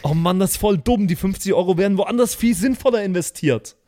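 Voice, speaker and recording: Man playing a high-pitched slangy street dude. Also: fairly quick, natural voice. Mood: eager, slangy. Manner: broken-grammar street slang, excitable. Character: slangy, eager young street dude pitching to his buddy.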